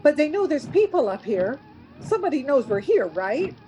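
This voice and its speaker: Woman playing a high-voiced, worried stranded traveller. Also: very animated, moderate pace, mellow, slight background noise. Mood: reassuring, uneasy. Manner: concerned, questioning. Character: worried, supportive female traveller stranded in snowstorm. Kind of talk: naturalistic radio play acting.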